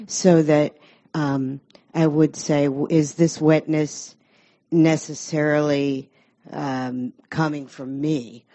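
Woman with a really low, dark voice, slow and thoughtful, sharing in a group. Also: level tone. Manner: thoughtful, halting, musing. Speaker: audience member sharing.

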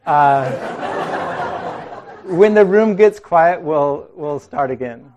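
A man leading a group discussion in a meeting room. He talks in a relaxed way, laughing often and restarting his sentences. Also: a little high, slow pace, dull audio. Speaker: meditation group leader.

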